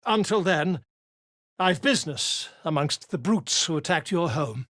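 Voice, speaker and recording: A man's voice: on the high side, lively intonation, measured pace, a bit bright, no echo, studio quality. An actor playing a flamboyant, self-important male bard and scribe in a video game, speaking in a theatrical, flowery, pompous way. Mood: grandiose.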